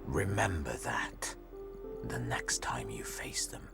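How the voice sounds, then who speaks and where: Grim old game elder, extremely low voice, creaky, slow, ominous storytelling, loud music. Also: male voice, big pitch swings, whispered, exaggerated voice. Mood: bitter warning. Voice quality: gravelly. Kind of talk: dramatic game narration.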